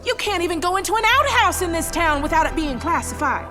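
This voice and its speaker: A woman playing a sharp-tongued, defiant small-town scientist with a folksy, commanding manner in an audio drama. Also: extremely high pitch, lively intonation, fast, over music.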